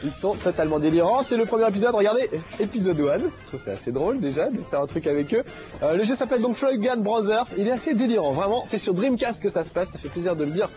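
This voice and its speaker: A man's voice: high-pitched, expressive, fast, dark tone, lo-fi sound, soft background music. A man hosting a game show, talking casually over gameplay with a slight nasal head cold and lots of fillers. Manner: chatty, jokey, many fillers.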